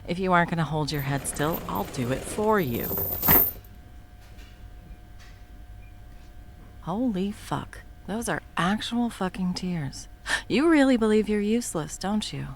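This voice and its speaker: Woman doing a deep-voiced villain lady in her 30s, husky fry, cussing a lot then going soft, audio roleplay. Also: slight background noise, heavily acted. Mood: incredulous, coaxing. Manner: profane, blunt, mocking that turns to coaxing endearments. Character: sharp-tongued woman supervillain, long-time arch-enemy.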